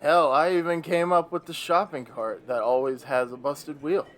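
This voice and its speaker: A man's voice: fairly high pitch, fairly even intonation, normal speed, a bit bright, natural voice. A man playing a bitter, weary old Devil in a radio play, grumbling in a theatrical, sardonic way.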